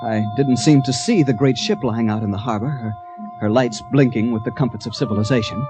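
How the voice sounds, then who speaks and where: An actor with an American accent playing an infatuated adventurer in an old-time radio drama, earnest and halting. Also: male voice, medium pitch, music in the background, close to an everyday voice. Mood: dreamy, haunted. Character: infatuated ship's captain turned brawler, recalling his wild adventure. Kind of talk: old-time radio drama acting with first-person narration.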